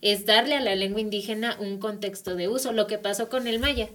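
A woman's voice: mid-range voice, steady tone, a little fast, fairly bright tone. A Mexican woman with a Mexican Spanish accent, explaining language issues on an academic radio talk show and often checking in with tag questions. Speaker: guest speaker on language. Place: radio studio.

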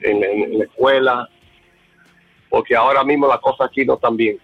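A man from the Dominican Republic talks earnestly with a husky, breathy voice. His sound is dry and close but comes through a dull, muffled line. He often repeats a word while he searches for the next one. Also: medium pitch, fairly even intonation, brisk, no room sound, soft background music. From Dominican Republic, raised in Puerto Rico. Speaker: interviewee, bar owner. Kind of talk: remote interview.

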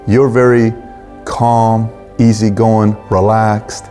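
Male interview host, low and mellow, conversational and slightly halting, faint music underneath. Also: little pitch movement. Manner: halting, repeats words while forming questions.

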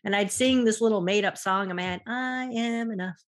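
A woman's voice: medium pitch, brisk. An American woman speaking as a hypnotherapist and podcast guest, explaining things casually and acting out short quotes. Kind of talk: casual explanatory interview talk.

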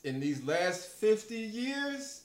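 A man's voice: sits high, big pitch swings, slow pace. Laid-back man in his thirties, echoey. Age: 30s. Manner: laid-back, profane storytelling with quoted retorts. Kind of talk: casual chat. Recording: echoey room with faint hiss.

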